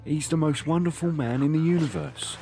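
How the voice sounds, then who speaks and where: A man's voice: a little high, a little fast, warm tone, soft background music, close to an everyday voice. A man playing a devoted, stubborn old grandfather, earnest and pleading, in an audio drama. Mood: tender, pleading. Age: older.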